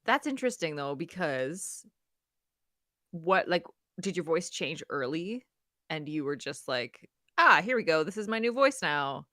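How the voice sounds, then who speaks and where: A woman co-hosting a podcast in casual chat, chatty and quick to laugh. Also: low voice, bright, forceful tone, no echo, studio quality. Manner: chatty, laughs often, mock whispers. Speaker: podcast co-host.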